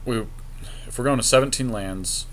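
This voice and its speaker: A man's voice: medium pitch, normal speed, fairly bright tone, slight background noise. A man casually commentating as a podcast co-host while playing a card game, with dry self-mocking humor and casual swearing. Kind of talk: casual gaming commentary, chatting while drafting cards.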